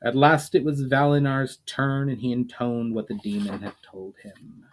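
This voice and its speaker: A man reading fantasy fiction aloud as a storyteller, in a dramatic audiobook style with measured phrasing that falls away at line ends. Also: medium pitch, little pitch movement, slight background noise, low-quality recording. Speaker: author reading own fiction aloud, channel host.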